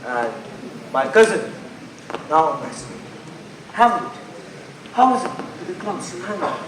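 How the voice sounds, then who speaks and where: A young man playing a formal king and stepfather in school stage Shakespeare, declaiming in measured phrases. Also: high voice, big pitch swings, far from the mic. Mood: stately. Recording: roomy, noisy stage recording from afar.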